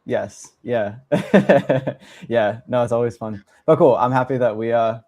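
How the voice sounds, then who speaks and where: A man's voice: medium pitch, unhurried, darkish tone. A man whose first language is Russian, co-hosting a podcast in an easygoing way and laughing often. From Los Angeles and Philadelphia, USA. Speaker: podcast co-host. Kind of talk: casual podcast conversation.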